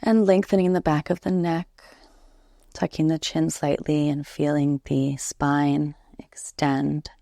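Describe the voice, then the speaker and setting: A woman guiding a relaxation meditation as a yoga nidra instructor, soothing and unhurried, leaving long pauses between gentle instructions. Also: low voice, level tone, gravelly, no room sound, studio quality.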